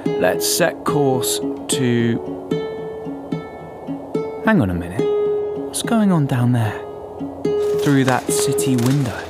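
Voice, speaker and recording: Chill wandering bird narrating, wry, slow but swoopy, loud guitar music under him. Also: male voice, mid-range voice, very animated. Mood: laid-back, curious. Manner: casual, musing, wry. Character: laid-back wandering bird narrator, casually curious. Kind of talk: audio drama narration.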